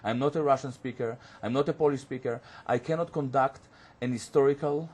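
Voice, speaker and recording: A man whose first language is Hebrew, a guest on a studio panel discussion, who talks haltingly and searches for words with many fillers. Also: medium pitch, darkish tone, breathy edge. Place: community TV studio.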